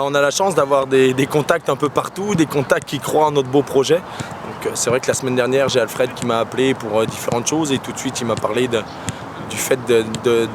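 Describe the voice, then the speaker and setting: A man speaking as a soccer coach in an outdoor interview, talking quickly with many restarts and fillers. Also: medium pitch. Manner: rapid, full of restarts and fillers. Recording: noisy outdoor background.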